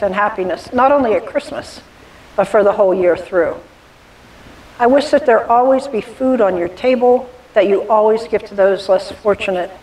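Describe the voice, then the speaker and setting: A woman reciting a poem for a church holiday program in a measured, earnest reading manner. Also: a little high, a little mellow. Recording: distant mic with hiss.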